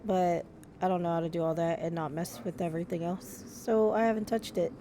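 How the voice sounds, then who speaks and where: A woman streaming live, chatting casually and gushing, her voice a little hoarse, with frequent restarts. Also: fairly low pitch, fairly even intonation, fairly quick, mellow, a little hiss or hum. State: slightly hoarse.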